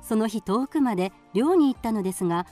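A woman's voice: a little high, animated, fairly quick, darkish tone, faint music behind. A woman reading scripted storybook narration for a radio drama.

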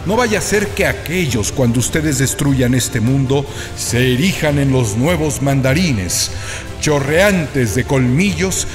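A man giving a dramatic, declamatory reading of a literary speech. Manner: declamatory, rhetorical, shifting pitch for emphasis. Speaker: reader. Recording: reading over music.

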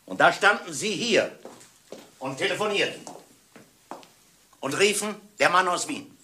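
A man playing a stern, methodical police inspector in a German radio crime drama, speaking emphatically and deliberately. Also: high voice, animated, bright, forceful tone, a little hiss or hum, full character voice. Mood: accusing. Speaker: actor in a radio crime play.

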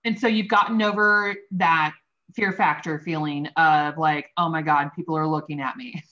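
A woman's voice: sits low, steady tone, normal speed, sharp tone, highs cut off. A woman hosting an interview over a video call, asking questions and encouraging her guest. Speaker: host.

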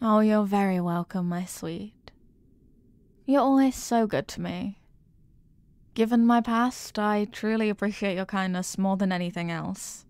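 A woman's voice: mid-range voice, measured pace, darkish tone, smooth, no room sound, natural voice. A woman playing a doting, intensely possessive girlfriend in an intimate ASMR roleplay, cooing tenderly with pet names. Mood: tender, soothing.

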